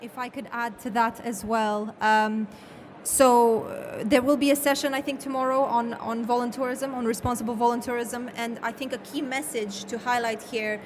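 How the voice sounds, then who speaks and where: A woman's voice: a little high, steady tone, moderate pace. A woman speaking as a panel moderator, emphatic and often repeating words for weight. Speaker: session host and moderator. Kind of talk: panel discussion remarks. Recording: noisy live event room.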